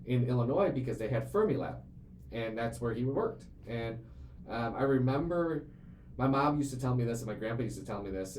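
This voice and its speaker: A man in his late twenties tells his story in a loose, earnest, rambling way, full of fillers and restarts. His voice sits in a middle pitch. The room is echoey, with faint ambient noise underneath. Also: a little mellow. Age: 20s. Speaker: CEO and show host. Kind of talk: casual conversational podcast chat.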